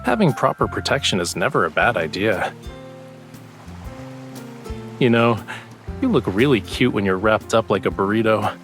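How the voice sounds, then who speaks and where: A teasing young male love interest from a romance game. His voice is low and soft, intimate and affectionate, over faint music. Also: animated, moderate pace, long pauses. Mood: playful teasing. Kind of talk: scripted romance game voice acting.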